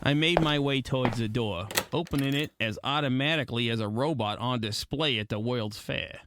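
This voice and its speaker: A man's voice: mid-range voice, normal speed, noisy background, natural voice. A man narrating as a wry, world-weary, slangy hard-boiled gumshoe in old detective radio style. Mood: wry narration. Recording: music under the voice.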